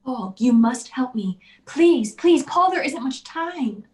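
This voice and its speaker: A woman plays a terrified wife in an old-time radio thriller. Her voice is high, breathless and pleading. She falters as she speaks, fearing for her life. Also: lively intonation, takes it slowly, darkish tone, reverberant. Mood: desperate pleading.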